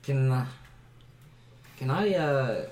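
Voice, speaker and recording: A man playing a tabletop game, planning his moves with halting fillers. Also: medium pitch, lively intonation, a little mellow, a little hiss or hum. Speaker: player. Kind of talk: casual chat at a game table.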